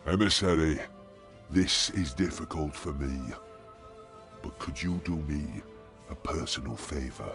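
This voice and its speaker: Gravelly, deep-voiced old lord. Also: male voice, measured pace, pauses often, music in the background. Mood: pleading. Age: older. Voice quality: gravelly, husky. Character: weary old male lord grieving his slain son. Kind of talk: dramatic video game acting.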